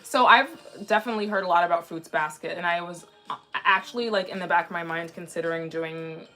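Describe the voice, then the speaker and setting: A woman in her twenties chatting casually on a livestream in a rambling way, slightly raspy from a sore throat. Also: lowish voice, brisk, sharp tone. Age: 20s. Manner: chatty, rambling, frequently restarting sentences. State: mildly sick with a sore throat. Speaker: anime livestream host. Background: background music.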